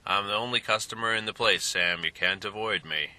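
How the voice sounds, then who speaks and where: Gruff commanding man, bright voice, low pitch, as a male narrator reads him. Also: a little fast. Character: gruff grown man hunting a rival.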